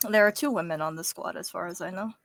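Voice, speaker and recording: Girl player joking around at game night, bright lively voice, dry close sound. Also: lowish voice, fast talker, no echo. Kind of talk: casual joking group chat.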